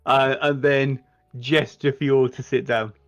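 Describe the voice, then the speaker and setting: A man narrating a tabletop roleplaying game as game master, in a measured, halting way, recorded a little lo-fi. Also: mid-range voice, fairly even intonation, no echo. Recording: dull lo-fi mic, music underneath. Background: background music.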